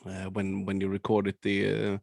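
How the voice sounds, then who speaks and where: A Swedish man with a Swedish accent, a band's singer, answering interview questions in halting speech full of ums and restarts. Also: sits low, monotone, gravelly, darkish tone, no room sound, studio quality. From Sweden. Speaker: band founder and singer. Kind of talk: casual interview over a call.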